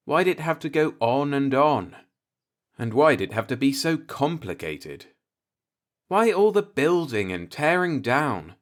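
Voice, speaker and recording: A man's voice: mid-range voice, lightly acted. A man voicing a cynical, musing older working-class man, a self-taught professor, in a folksy, rhetorical audiobook monologue. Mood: questioning, exasperated.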